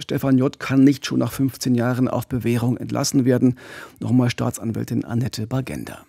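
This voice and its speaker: A man's voice: mid-range voice, creaky, warm tone, slightly rough, no echo. A man in his forties co-hosting and narrating a true-crime podcast, close on a studio microphone. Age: 40s. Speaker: podcast co-host. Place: small radio studio. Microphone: close studio microphone with pop filter.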